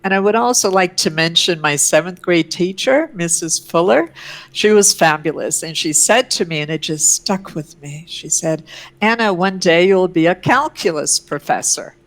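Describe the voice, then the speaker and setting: A woman's voice: lowish voice, normal speed. A woman whose first language is Ukrainian, speaking as an interview guest with effusive enthusiasm and frequent fillers, recorded in a room at home. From Canada and the US. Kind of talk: conversational interview. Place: a room at home with her oil paintings.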